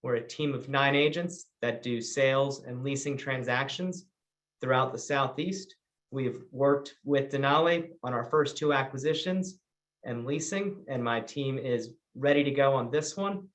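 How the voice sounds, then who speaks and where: A man's voice: mid-range voice, unhurried, fairly bright tone. A man hosting a business investor webinar, presenting in an organized way with occasional ums. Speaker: webinar host and real estate broker. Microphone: video call.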